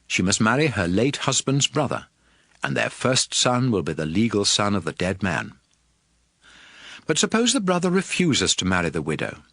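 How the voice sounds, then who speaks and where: A man narrating scripture in a measured, authoritative manner for a dramatized audio production. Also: medium pitch, a bit bright, dry recording, studio quality. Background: music.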